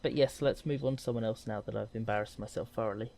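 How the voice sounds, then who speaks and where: A man chatting casually on a podcast in a halting way, repeating words. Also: on the low side, fairly even intonation, vocal fry, a little mellow. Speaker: podcast panelist. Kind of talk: casual panel chat about films.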